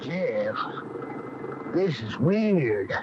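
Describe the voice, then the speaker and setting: A man's voice: mid-range voice, big pitch swings, extremely slow. An actor playing a wary, plain-spoken man in a radio drama, folksy in his manner. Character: wary, plain-spoken male companion, eager to get away. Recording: muffled 1980 radio broadcast, light hiss.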